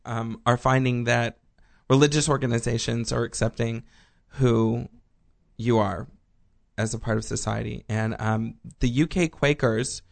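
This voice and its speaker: Casting: gravelly-voiced male co-host, from Texas, loose rambling delivery. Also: medium pitch, fairly even intonation, unhurried. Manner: rambling, many ums and restarts. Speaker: podcast co-host. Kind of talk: casual news chat.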